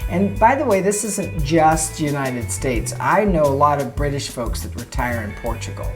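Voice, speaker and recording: A woman with a very low voice co-hosts a casual chat. She reads facts from her notes and breaks in with chatty asides of her own. Faint music plays underneath. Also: expressive, normal speed. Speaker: co-host.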